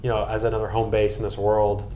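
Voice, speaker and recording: Low-voiced earnest American man. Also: monotone, fairly quick, dark tone, slight background noise. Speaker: podcast guest and co-host recapping a trip. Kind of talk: casual podcast chat.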